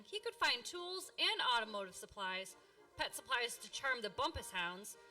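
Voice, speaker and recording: A woman's voice: sits high, sharp tone. A woman announcer reading a scripted, upbeat radio commercial.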